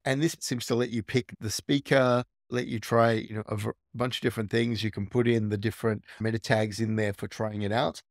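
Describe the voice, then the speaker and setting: A man's voice: fairly low pitch, little pitch movement, a little fast, few pauses, no room sound, clean studio sound. A man hosting a tech tutorial, casually walking through a screen recording and thinking aloud with small restarts.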